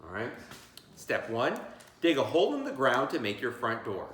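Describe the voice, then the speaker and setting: Man, teacher read-aloud, very expressive pitch swings, encouraging, chatty asides, echoey room. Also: moderate pace. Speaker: teacher reading a picture book aloud. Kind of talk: read-aloud storytelling with asides and questions to children.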